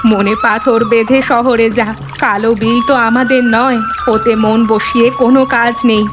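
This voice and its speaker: Cartoon role: old village mother, woman actor. Plaintive, exhorting, melodramatic, fairly high pitch, clear music bed underneath. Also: fairly even intonation, unhurried, natural voice. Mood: tearful, resolute. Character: sorrowful, resolute elderly village mother of a grown son. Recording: music behind the voice. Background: background music.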